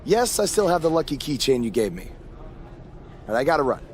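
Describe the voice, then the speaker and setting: A man's voice: fairly high pitch, sing-song, fairly quick, a little mellow. An actor playing a defiant, cheeky young male pro wrestler in a video game cutscene. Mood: friendly, casual.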